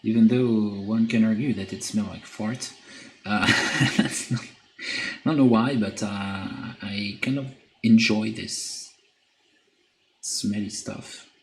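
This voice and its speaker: A man with a French accent chatting on a live stream while he paints, reading chat and pausing often with fillers. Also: on the low side, fairly even intonation. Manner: hesitant, many filler pauses, reads chat aloud. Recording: echoey room with faint background noise.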